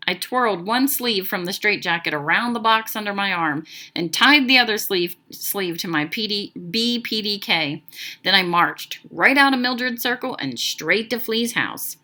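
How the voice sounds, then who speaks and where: A woman reading a children's book aloud as narrator, with animated, dramatic, expressive storytelling. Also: mid-range voice, moderate pace.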